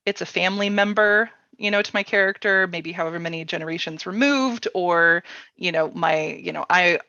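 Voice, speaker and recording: A woman hosting a casual panel discussion, thinking aloud informally in halting phrases. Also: fairly low pitch, pushed and bright. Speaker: workshop host and panelist. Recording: roomy, slightly dull sound.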